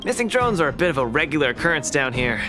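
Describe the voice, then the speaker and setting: Young hero brawler, game: lightly high, very animated, confident, good-hearted. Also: male voice, quick pace. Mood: eager, casual. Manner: confident, breezy, eager. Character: cocky, upbeat young male champion fighter, good-hearted. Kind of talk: video game voice acting. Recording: game music under the voice.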